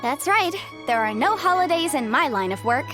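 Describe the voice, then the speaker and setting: A woman's voice: high-pitched, lively intonation, normal speed, music in the background. An actress voicing a breezy, self-assured young woman consultant in a video game, articulate and playfully defensive.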